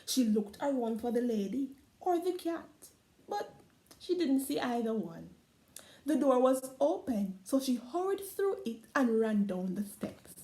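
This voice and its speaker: A woman with a Caribbean accent reading a folktale aloud, expressive and prone to laughs and asides. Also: fairly high pitch, unhurried, echoey room, rough recording. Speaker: storyteller.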